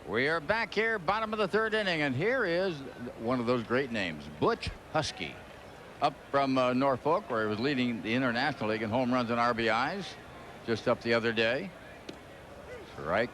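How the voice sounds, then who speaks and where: A man's voice: mid-range voice, lively intonation, moderate pace. A man doing live baseball play-by-play on the radio in a conversational, folksy way. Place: ballpark broadcast booth. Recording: clearly noisy 1990s stadium broadcast. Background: loud ballpark ambience.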